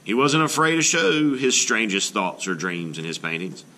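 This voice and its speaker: A man reading a children's book aloud as an art teacher, in an expressive, explanatory way meant for children. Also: lowish voice, moderate pace, a little hiss or hum.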